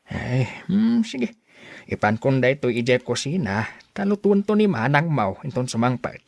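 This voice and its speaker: Fond, fussy elderly dad played by a man, Ilocano accent, very animated intonation, coaxing delivery, close dry sound. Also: mid-range voice, a little slow, vocal fry, dry recording. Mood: pleading, fussing. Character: fussing, affectionate old father urging his daughter. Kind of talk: radio drama acting.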